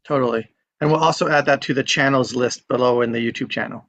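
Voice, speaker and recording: A host with a medium-pitched voice moderates a group in an easy, casual way. Short acknowledgments lead into introductions. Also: fast talker, a bit bright.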